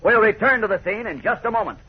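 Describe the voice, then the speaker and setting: A man's voice: sits high, fast, husky. A man narrating a 1940s radio serial as its announcer, in dramatic style. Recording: tinny, narrow 1940s radio recording.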